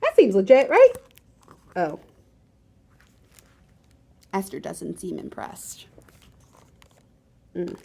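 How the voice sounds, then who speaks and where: A woman's voice: mid-range voice, wildly swooping pitch, extremely slow, vocal fry, pauses often, warm tone. A woman hosting a children's storytime, reading a picture book expressively and chatting directly to her young listeners. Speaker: library storytime host and reader.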